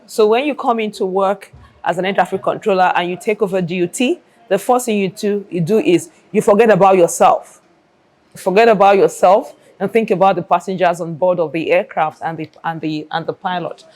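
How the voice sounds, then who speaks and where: A woman's voice: fairly low pitch, a little fast, darkish tone. A Nigerian-accented woman, an air traffic controller, explaining her work in an interview and repeating phrases for emphasis.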